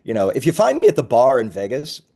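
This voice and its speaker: A man speaking as a company founder and interview guest, informally pitching his work in an easygoing, halting way with friendly asides. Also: sing-song, warm tone. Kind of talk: casual conversational pitch.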